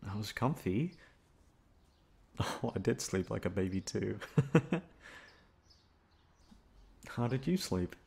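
A man playing a shy, flustered, gentle young classmate in an ASMR roleplay, hesitant and stammering. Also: lowish voice, animated, slow pace, gravelly, pauses often, husky, heavily acted. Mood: shy relief. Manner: hesitant, stammering, soft-spoken.

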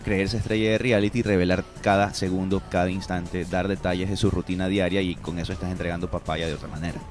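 A man's voice: deep voice, flat delivery, fast talker. An Ecuadorian man, middle-aged, explaining his opinions emphatically in a radio discussion, close on a studio microphone. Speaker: radio show guest. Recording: music playing behind the voice. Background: music.

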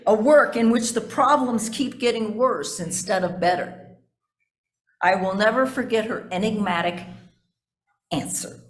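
A woman's voice: medium pitch, a little slow, pauses often. Older woman preaching in an echoey church. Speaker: pastor preaching a sermon. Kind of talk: sermon read from notes, with asides to the congregation. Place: indoors in a church sanctuary at a pulpit. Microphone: gooseneck pulpit microphone.

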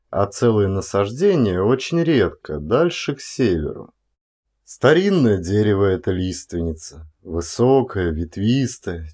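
Low-voiced man narrating an audiobook. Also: darkish tone. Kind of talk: expressive literary audiobook narration.

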